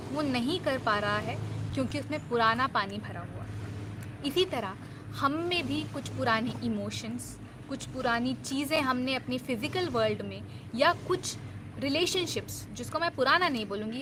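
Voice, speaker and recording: A woman's voice: high voice, background noise. A woman life coach giving an earnest, reassuring self-help talk, mixing English words into her Hindi.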